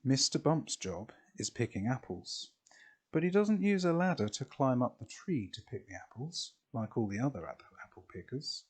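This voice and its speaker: A man reading a children's storybook expressively, in a deliberate way with drawn-out phrases. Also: mid-range voice, sing-song, darkish tone, slightly rough.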